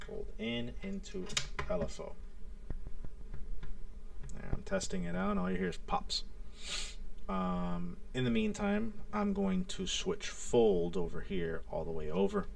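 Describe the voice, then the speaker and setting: A man's voice: high-pitched, very animated, measured pace, gravelly, pauses often, no echo, far from the mic. A man hosting a casual live demo, thinking aloud and muttering to himself in short fragments as he works. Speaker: live stream host demonstrating a synthesizer.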